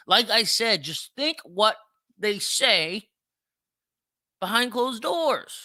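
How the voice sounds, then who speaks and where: A man's voice: sits high, bright, forceful tone, dry recording, clean studio sound. A man giving opinionated solo commentary as a podcast host, emphatic and repeating phrases for stress.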